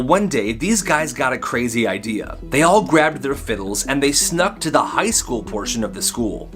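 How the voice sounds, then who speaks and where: A man narrating short comic stories in a casual, slangy, conversational style. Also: medium pitch. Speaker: storyteller, host of short comedy videos. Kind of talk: casual comedic storytelling narration.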